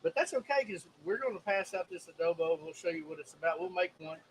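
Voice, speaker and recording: A man's voice: high voice, lively intonation, fast talker, a little hiss or hum, rough recording. A man, co-hosting a casual chat in an easygoing, chuckling way. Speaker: co-host.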